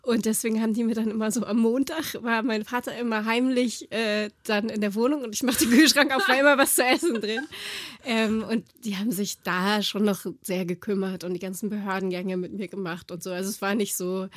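A woman's voice: on the high side, steady tone, a little fast, dry recording. A woman in her forties as an interview guest, chatting casually with many fillers. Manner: chatty, many fillers, laughs while telling stories.

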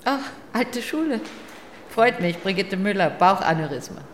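An actress playing a composed, wry patient in a radio drama, polite and understated with a stranger. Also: female voice, medium pitch, animated, normal speed, natural voice. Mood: wry, friendly. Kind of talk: naturalistic German radio drama. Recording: echoey room with clear background noise.

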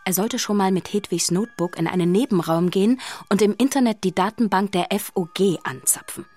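A storyteller played by a woman narrates a children's ghost radio play. Her mid-range voice is dramatic and suspenseful, with music clearly behind it. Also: medium pitch, brisk, darkish tone, natural voice. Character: storyteller who witnessed the haunting.

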